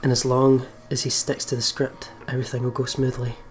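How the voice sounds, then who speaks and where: A man's voice: mid-range voice, flat delivery, brisk. A man hosting a let's play, casually commenting and reading story text aloud. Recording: game music behind the voice. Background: visual novel game music.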